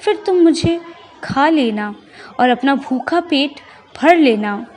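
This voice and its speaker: A woman voicing a gentle, earnest mother cow in a children's story, speaking slowly and imploringly. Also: high voice, animated, a little hiss or hum. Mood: tearful pleading. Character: gentle, pleading mother cow, honest and devoted.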